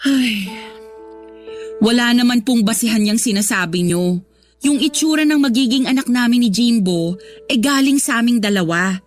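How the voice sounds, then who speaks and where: Woman playing a young pregnant filipina, kinda high voice, polite but firm, radio drama with light music under. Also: moderate pace, natural voice. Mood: protesting. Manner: respectful, gently protesting. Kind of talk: Tagalog radio drama acting.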